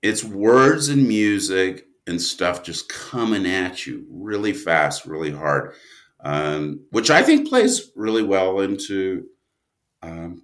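A man talking casually as an interview guest, a writer who trails off and restarts his thoughts in an animated way. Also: sits low.

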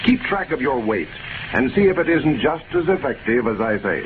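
A male American announcer reads scripted copy in 1930s radio style. His mid-range voice swings widely in pitch, with polished, emphatic phrasing. It sounds like a thin, narrow-band vintage broadcast. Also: very animated, normal speed. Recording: scratchy, tinny 1930s radio broadcast recording.

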